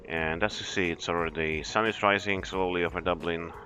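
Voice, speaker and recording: A man, an airline captain, chatting in a relaxed, explanatory way as he streams a flight simulator session. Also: deep voice, brisk, soft background music. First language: Polish. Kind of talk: live stream commentary.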